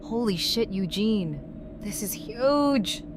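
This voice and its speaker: Audio drama role, female actor: tough, salty, teasing woman, medium pitch, plain-spoken, noisy ambience behind. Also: lively intonation, slow pace. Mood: delighted. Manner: blunt, casual, salty. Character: Rosita, tough, blunt, teasing but loyal woman. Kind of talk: fan audio drama acting.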